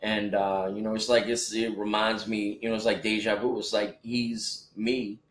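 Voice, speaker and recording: A man's voice: on the low side, little pitch movement, moderate pace, reverberant. A man in his forties in a casual podcast chat, rambling with many restarts and fillers. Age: 40s. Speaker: podcast co-host, rapper.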